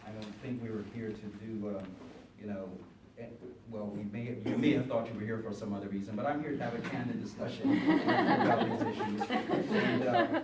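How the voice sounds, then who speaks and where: An older man moderating a panel, halting and hesitant, full of fillers and restarts. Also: medium pitch. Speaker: moderator, university teacher. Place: panel room with audience. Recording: echoey room, far from mic, noisy.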